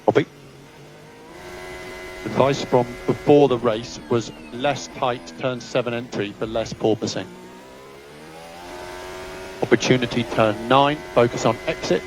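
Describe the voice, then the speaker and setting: A man's voice: mid-range voice, steady tone, unhurried, pauses often. A man working as a race engineer, giving terse, businesslike technical instructions and updates over team radio. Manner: clipped, businesslike, technical delivery. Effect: team radio transmission. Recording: noisy team radio, engine and vehicle hum.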